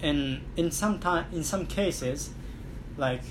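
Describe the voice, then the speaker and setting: A man in a casual conversation, speaking haltingly and searching for words with many fillers. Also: on the high side. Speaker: conversation partner. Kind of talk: casual chat. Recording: clear background noise.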